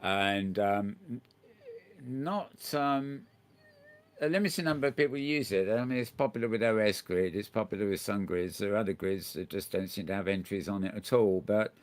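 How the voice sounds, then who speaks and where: A man's voice: lowish voice, normal speed. An older man hosting a casual chat show, rambling and restarting often as he tells a story. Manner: chatty, rambling, many restarts and stammers. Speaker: host.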